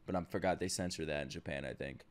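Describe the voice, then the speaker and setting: A young man giving casual, excitable commentary as he reacts to an anime, swearing freely and breaking into shouts and laughter. He talks into a boom-mounted desk mic at home. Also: fast. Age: young adult. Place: home streaming room. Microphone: boom-mounted desk mic, wearing headphones.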